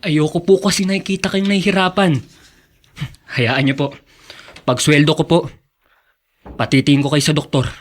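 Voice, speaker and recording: A man's voice: fairly high pitch, steady tone, normal speed, pauses often, close to an everyday voice. A man with a Filipino accent acting a devoted, earnest young student son in a radio drama, tender and respectful. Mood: pleading, reassuring. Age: young adult. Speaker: actor in a drama.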